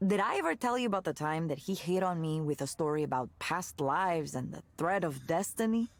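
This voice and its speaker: An actress playing a candid, jaded former tech worker in a visual novel, conversational and sardonic with disgusted asides. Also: female voice, on the low side, animated, brisk. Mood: wry, conspiratorial. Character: candid, jaded woman ex-tech worker telling a wry story. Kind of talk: naturalistic visual novel voice acting.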